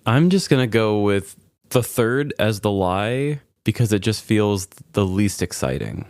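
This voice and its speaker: A man with a low-pitched voice chats casually as a podcast co-host. He has a wry, playful way of bantering. The recording is dry, with no room sound around him. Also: lively intonation, measured pace, darkish tone, no room sound.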